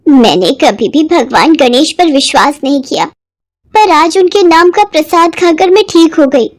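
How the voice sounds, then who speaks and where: A woman voicing a spiteful, complaining mother in a cartoon moral story, with a sharp-tongued, dramatic manner. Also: extremely high pitch, pitched above the performer's own voice.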